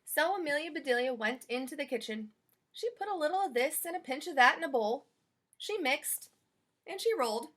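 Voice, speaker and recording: Female storybook reader, high register, highly expressive melody, talks straight to children. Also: fairly quick. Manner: animated, addresses young listeners directly. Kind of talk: expressive children's read-aloud narration.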